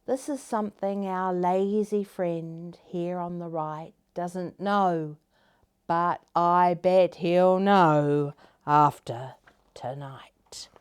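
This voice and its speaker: A woman reading a children's story aloud in an expressive, dramatic manner, dropping into hushed tones for effect. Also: on the low side, drawn-out words, clean tone.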